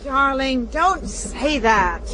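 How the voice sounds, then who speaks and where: A woman's voice: high-pitched, expressive, extremely slow, background noise, heavily acted. An actress playing an affectionate, flirtatious married woman in her late thirties in a period radio drama. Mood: coaxing. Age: 30s.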